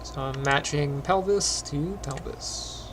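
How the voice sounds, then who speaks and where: Phlegmy, gravelly man teaching animation. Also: fairly high pitch, slow pace, slight background noise. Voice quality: phlegmy, clears throat often. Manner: relaxed, rambling, many ums. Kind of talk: casual screen-recorded tutorial chat.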